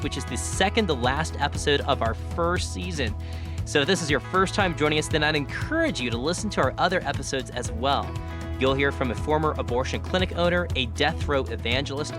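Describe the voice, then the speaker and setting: A male podcast host with a slightly higher-pitched voice speaks in a presenting, interviewing style. Music plays clearly behind him. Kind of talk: scripted podcast intro and interview. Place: recording studio.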